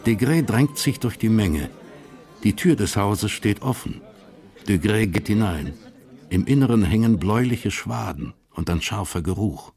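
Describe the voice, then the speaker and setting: An actor as the sober narrator of a historical radio crime drama, reading in a factual, deliberate way. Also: male voice, on the low side, expressive, darkish tone.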